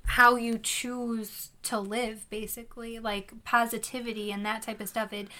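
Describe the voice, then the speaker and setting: A young woman co-hosts a casual faith podcast, her voice hoarse from a long day of talking. Her tone is bright and a little high, with a flat, steady delivery as she reflects earnestly, and soft music plays faintly behind her. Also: moderate pace. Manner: earnest, reflective, filler-heavy. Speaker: podcast co-host.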